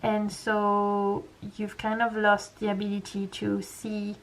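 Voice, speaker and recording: A young woman with a French accent, a French native speaker giving a gentle, reassuring pick-a-pile tarot reading full of casual fillers. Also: medium pitch, completely flat delivery, slow, rough recording. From France. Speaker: tarot reader.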